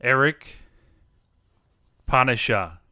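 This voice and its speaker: A man hosts a live stream in casual chat, with a bright, forward voice that is clean and resonant. He talks informally and chummily, and the sound is close and dry. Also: mid-range voice, lively intonation, very slow, no echo. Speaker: streamer host.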